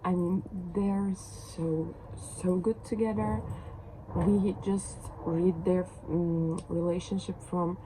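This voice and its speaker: A woman's voice: fairly low pitch, steady tone, slow. A young woman from Turkey with a Turkish accent, chatting hesitantly to camera as a book vlogger, with many fillers and restarts. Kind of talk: casual chat to camera. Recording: noisy background.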